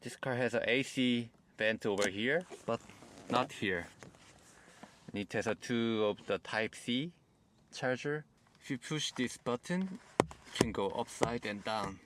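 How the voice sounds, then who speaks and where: Korean-accented guy walking through a car, halting simple phrasing, takes his time. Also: medium pitch, a bit bright, slight background noise. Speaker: car reviewer. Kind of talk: car review walkthrough. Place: in and around a car.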